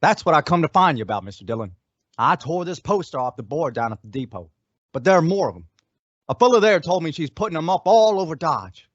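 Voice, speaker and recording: A man's voice: fairly high pitch, sing-song, fairly quick, no echo. A man acting a loyal, worried deputy sidekick with folksy rustic speech in an old-time radio western. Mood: earnest. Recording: dull, dry lo-fi audio.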